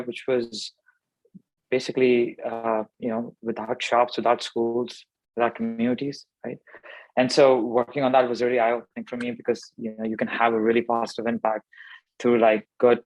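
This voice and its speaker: Founder from Pakistan, male, 30s, reflective and halting, mid pitch, dry recording. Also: dry recording, lo-fi sound. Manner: thoughtful, many fillers and restarts. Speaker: startup founder guest. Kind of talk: conversational interview answers.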